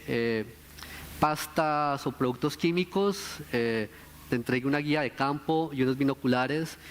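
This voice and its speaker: A man with a Colombian accent speaking earnestly and deliberately at a conference, giving a talk and answering questions. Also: mid-range voice, slight background noise.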